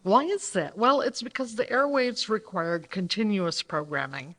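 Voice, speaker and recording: A woman with a medium-pitched voice speaks as co-presenter at a live stage event. Her delivery is semi-scripted yet conversational, explaining things to the audience. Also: lively intonation, normal speed, fairly bright tone. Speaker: co-presenter of a radio re-creation troupe.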